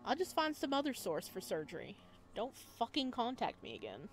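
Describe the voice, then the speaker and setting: A woman's voice: a little high, wildly swooping pitch, moderate pace, soft background music. A woman voicing a wary, defiant visual novel protagonist, blunt and indignant. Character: wary, irritated, defiant sick protagonist of horror game.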